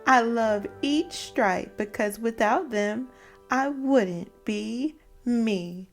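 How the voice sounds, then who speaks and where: A woman's voice: fairly high pitch, drawn-out words. A woman voicing an anxious, earnest young boy zebra in a storybook, speaking expressively. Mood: proud. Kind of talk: storybook character voice. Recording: music behind the voice.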